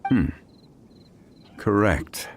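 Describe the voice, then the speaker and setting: A man voicing a composed, learned gentleman investigator in a video game, formal, measured and analytical in his delivery. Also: noisy background. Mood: pensive. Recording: music behind the voice. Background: game music.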